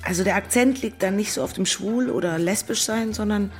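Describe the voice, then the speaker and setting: A lovelorn city woman, played by an actress, speaks in a mid-pitched voice with theatrical exaltation and a sardonic edge. Faint music runs behind her in this audiobook drama. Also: a little fast, natural voice. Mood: hesitant, polite. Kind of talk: theatrical audiobook monologue and dialogue.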